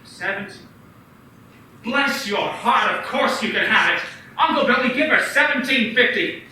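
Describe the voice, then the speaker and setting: Seorang pria memerankan bankir muda kota kecil yang tulus dan mendesak, dengan nada memohon yang hidup. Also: male voice, very high-pitched, normal speed, slight background noise, heavily acted. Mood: jubilant. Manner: pleading, urgent, animated. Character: earnest young male small-town banker. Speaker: radio play actor. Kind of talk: community radio play acting. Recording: echoey room, far from the mic.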